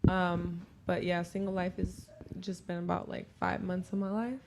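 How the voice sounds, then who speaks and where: A woman's voice: lowish voice, level tone, moderate pace, vocal fry, heavy background noise. Giggly woman in her twenties, lots of fillers. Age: 20s. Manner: giggly, filler-heavy, repeats herself. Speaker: podcast guest. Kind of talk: casual panel chat.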